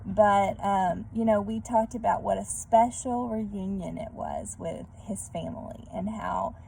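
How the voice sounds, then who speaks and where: Gentle woman reading aloud, slightly creaky and mellow. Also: medium pitch, fairly even intonation, a little slow, poor audio. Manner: gentle, asks listeners tag questions. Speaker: read-aloud host for children. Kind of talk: storybook read-aloud with asides to young listeners. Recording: background noise.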